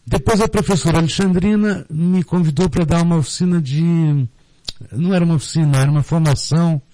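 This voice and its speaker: A middle-aged man with a Brazilian Portuguese accent, explaining in a casual radio interview in a halting way, often repeating words. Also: fairly high pitch, fairly even intonation, warm tone, slight background noise. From Brazil, born in Rio de Janeiro, raised in Recife. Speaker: guest, storyteller and theatre group director. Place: radio studio, dry close sound.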